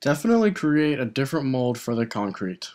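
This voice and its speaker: Young male student, project voice-over, steady explaining tone, medium pitch. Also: fairly quick. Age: young adult. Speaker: engineering student. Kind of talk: scripted project narration.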